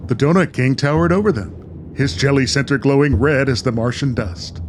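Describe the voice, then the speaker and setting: A man's voice: mid-range voice, moderate pace, soft background music. A man narrating a scripted comedy radio play, reading scene headings and story as narrator.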